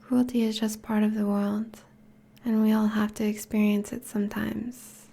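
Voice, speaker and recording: A woman playing a teasing, affectionate vampire girlfriend in an intimate ASMR roleplay, speaking softly in a breathy, whispery voice. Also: mid-range voice, flat delivery, moderate pace. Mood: affectionate, playful. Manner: soft, teasing, languid.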